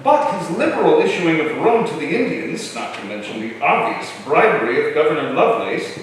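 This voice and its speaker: A man's voice: on the high side, very animated, brisk, slight background noise. An actor playing a scheming, accusatory colonial councilman in a stage drama, with pompous, oratorical delivery. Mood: sarcastic, accusing. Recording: very echoey hall, distant mic.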